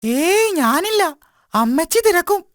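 A woman's voice: extremely high pitch, sing-song, unhurried, dry recording, clean studio sound, exaggerated voice. A woman voicing a lazy, restless little boy elephant in a children's cartoon, who grumbles and sulks. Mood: curious. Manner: grumbling, sulky. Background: loud children's music.

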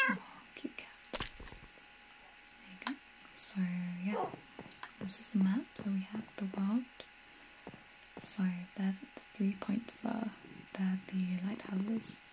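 A young woman giving whispered ASMR commentary over a video game, breathy, soft, gentle and a little hesitant. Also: medium pitch, fairly even intonation, slow pace, long pauses, low-quality recording. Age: young adult. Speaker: video game streamer and tour guide.

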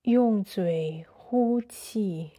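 A woman guides a sleep meditation with a dark, relaxed tone and a medium pitch. Her delivery is extremely unhurried, in short, measured phrases with space between them. The manner is soothing throughout. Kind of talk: slow sleep meditation narration.

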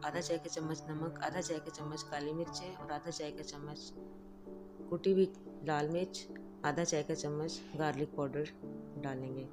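A woman hosting a home cooking channel, giving calm, instructive step-by-step recipe narration. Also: deep voice. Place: home kitchen.

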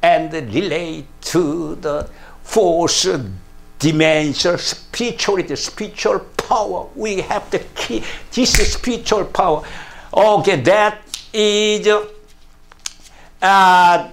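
Slow, gravelly older Korean preacher. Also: male voice, high-pitched. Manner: slow, emphatic preaching with frequent hallelujahs and broken English. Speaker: pastor. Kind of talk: sermon to camera. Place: plain studio room, indoors.

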